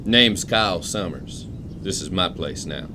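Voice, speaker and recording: Old retired engineer, low voice, folksy, eccentric, suspicious, audio drama, rumbling background noise. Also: male voice, animated, measured pace. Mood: folksy, proud. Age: older. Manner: folksy, clipped rural turns of phrase. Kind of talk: full-cast audio drama acting.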